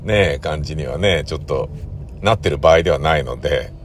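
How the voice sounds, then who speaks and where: A man hosting a casual solo podcast, talking in halting, drawn-out phrases that keep checking for agreement. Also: extremely low pitch, a little hiss or hum. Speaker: host of a regular podcast, radio drama director.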